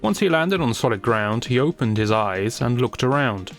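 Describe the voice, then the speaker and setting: A man with a medium-pitched voice delivers scripted storytelling narration. His phrasing is deliberate and theatrical, with a wry edge. Music plays underneath his voice. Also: animated. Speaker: creator and narrator.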